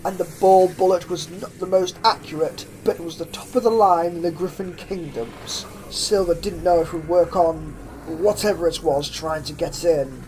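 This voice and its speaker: A woman with a slightly low voice reads a horror tale aloud. Her delivery is theatrical and suspenseful, stretching out phrases for tension. A clearly audible layer of ambient noise sits behind her. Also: normal speed, hardly any pauses, darkish tone, low-quality recording. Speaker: fan fiction narrator. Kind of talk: dramatic horror story reading.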